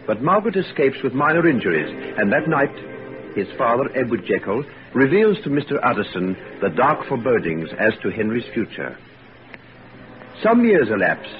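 A male announcer giving formal, stately scripted narration. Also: mid-range voice. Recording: dull old radio broadcast.

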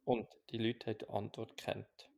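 A man with a Swiss German accent, co-hosting a podcast in a calm, reflective chat with many restarts. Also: on the low side, moderate pace, vocal fry. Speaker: podcast co-host.

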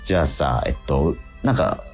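A man's voice: extremely low pitch, lively intonation, normal speed, loud music behind the voice. A man hosting a radio show, chatting casually about acting in an earnest, self-questioning way with frequent affirming interjections. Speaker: radio show host and stage actor. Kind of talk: casual radio talk between actors.

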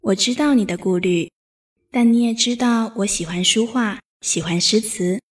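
A woman playing a gentle, bookish young noblewoman in a Chinese period audio drama, poised, with literary phrasing. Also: medium pitch, moderate pace, natural voice. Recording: music behind voice.

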